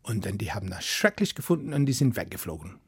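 A man's voice: fairly low pitch, sing-song, fast talker, husky, no room sound. A man telling a folk story in to a live audience, chatty and playful with asides. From Wales. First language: English. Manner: chatty, playful asides, non-native grammar.

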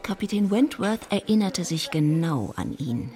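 A woman narrating a literary radio drama in German in a measured, precise way. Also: lowish voice, very animated, dark tone.

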